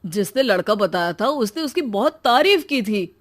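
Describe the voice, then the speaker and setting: A woman's voice: on the high side, sing-song, normal speed. A woman playing an earnest, trusting young brother in a moral story, with a mild, explanatory manner. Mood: defensive.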